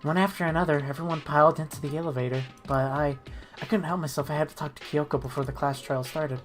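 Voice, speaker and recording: An earnest high school boy hero voiced by a woman, pitched low. The lines move between scripted narration and questioning game dialogue, with music playing underneath. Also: fairly even intonation, fast, close to an everyday voice. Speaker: narrator and player in a group game dub. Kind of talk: scripted narration and dialogue mixed with casual chat. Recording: music behind the voice.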